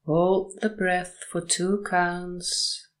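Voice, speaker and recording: Very slow female meditation guide. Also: lowish voice, level tone. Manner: measured, soothing delivery in short phrases with long pauses. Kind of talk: guided sleep meditation and hypnosis narration.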